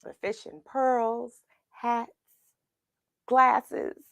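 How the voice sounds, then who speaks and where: A woman reading a children's story aloud, expressive and dramatic, with pauses for effect. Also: fairly high pitch, vocal fry, dry recording, highs cut off. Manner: expressive, dramatic pauses, asks the listener questions. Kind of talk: animated read-aloud storytelling.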